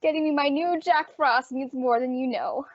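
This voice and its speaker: Effusive, polite female executive, radio drama, acted by a young woman: high, ladylike voice on a dry lo-fi track. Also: normal speed, dry recording, lightly acted. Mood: gracious, grateful. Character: polite, gushing, jumpy female store advertising executive. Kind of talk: old-time radio drama acting. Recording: dull lo-fi audio, very dry.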